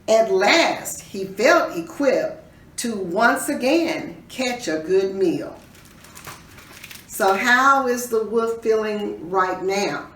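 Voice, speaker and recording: A woman reading a children's story aloud in an expressive, teacherly way, pausing to put questions to her listeners. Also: medium pitch. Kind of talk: dramatic read-aloud with questions to young listeners. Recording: roomy echo, distant mic, faint noise.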